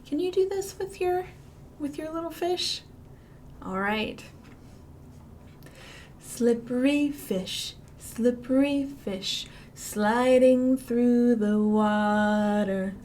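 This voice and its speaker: A woman with a Midwestern accent leads a children's storytime, her voice a touch high and her pace slow and deliberate. She chants rhymes in a sing-song lilt, with big excited swoops on the key words. A faint hiss sits under the recording. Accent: Midwestern American. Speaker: children's librarian. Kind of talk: virtual storytime for young children.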